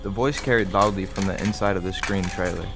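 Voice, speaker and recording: A man plays a middle-aged father narrating a radio play in a low voice. His telling is wry and measured. Music plays clearly behind him. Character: wry travelling father telling a strange roadside tale. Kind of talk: scripted first-person radio play narration.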